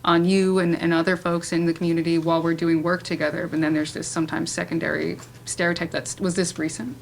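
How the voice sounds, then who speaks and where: Fast-talking woman moderating, slight room noise. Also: on the low side, level tone, gravelly. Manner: affirming, frequent agreement. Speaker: panel moderator. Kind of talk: unscripted panel moderation. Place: public panel event, live audience. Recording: faint background room noise.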